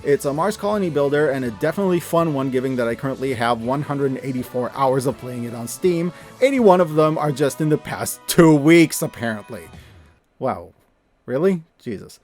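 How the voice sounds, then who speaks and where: A man's voice: mid-range voice, expressive, normal speed, darkish tone, soft background music. A man hosting a review video, narrating scripted recommendations in a casual, enthusiastic, emphatic way with mild swearing.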